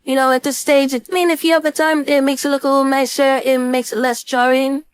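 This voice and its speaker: A woman instructor giving a casual screen-share software tutorial, thinking aloud informally as she demonstrates. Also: sits high, little pitch movement, fast, no room sound. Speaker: design instructor.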